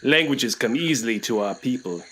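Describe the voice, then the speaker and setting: Male actor as enigmatic tribesman, measured, cryptic, quietly commanding, radio drama, faint jungle noise. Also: mid-range voice, expressive, lightly acted. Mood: calm, cryptic. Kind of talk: radio play acting.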